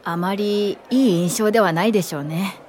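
An actress playing a polite, devoted young female assistant in a radio drama, speaking sincerely and with measured care. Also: mid-range voice, a crowd in the background, natural voice. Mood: concerned. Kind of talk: radio drama acting with reflective narration. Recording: studio radio drama over clear music.

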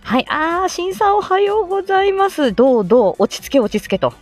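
A woman's voice: very high-pitched, sing-song, fast. A woman hosting a casual live stream from inside a car, playful and self-deprecating as she gives chatty announcements. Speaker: livestream host; speech-language therapist.

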